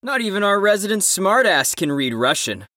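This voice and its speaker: Woman playing a sardonic young troublemaker, animated intonation, teasing, brash, dry close sound, audio drama. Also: medium pitch, normal speed, no echo.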